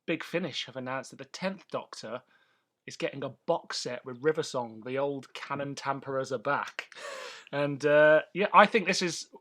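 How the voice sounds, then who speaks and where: A man's voice: medium pitch, normal speed, pushed and bright. A man co-hosting a podcast in casual, chatty banter, with a fondness for detail. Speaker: podcast host. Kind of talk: casual banter between co-hosts.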